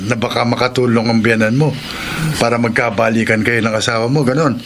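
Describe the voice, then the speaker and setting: An actor with a Filipino accent playing a blunt friend who cautions insistently in a radio drama. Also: male voice, mid-range voice, fairly even intonation, fairly quick, lightly acted. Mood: admonishing, concerned. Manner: insistent, admonishing. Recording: clear background noise and ambience.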